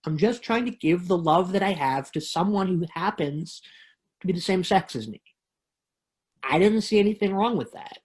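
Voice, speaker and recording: A woman's voice: on the low side, lively intonation, moderate pace, darkish tone, close to an everyday voice. A woman playing an earnest, reflective woman recounting her coming out in a dramatic monologue reading. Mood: earnest, assured. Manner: confessional, reflective.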